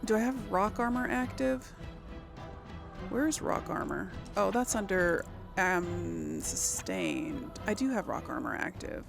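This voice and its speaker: Female let's play host, casual and exclamatory, slightly creaky, mid-range voice, light game music underneath. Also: medium pitch, big pitch swings, slow pace. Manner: thinking aloud, exclaiming at the action. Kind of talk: casual gameplay commentary.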